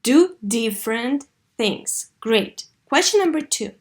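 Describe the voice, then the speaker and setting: Female English teacher for a kids' video lesson, slightly high pitch, instructive and expressive. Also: takes it slowly. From Russia. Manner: didactic, expressive model reading with clear questions. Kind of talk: video lesson for third graders.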